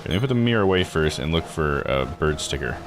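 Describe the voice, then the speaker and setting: A man's voice: very deep voice, animated, fast. A man doing casual let's play commentary as a game streamer, thinking aloud in folksy, slangy phrases. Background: game music.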